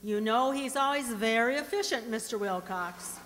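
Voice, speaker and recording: A woman's voice: fairly high pitch, normal speed, close to an everyday voice. A woman playing a patient, wry wife who teases drily in an old-time radio comedy. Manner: dry, gently teasing. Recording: very reverberant hall stage recording.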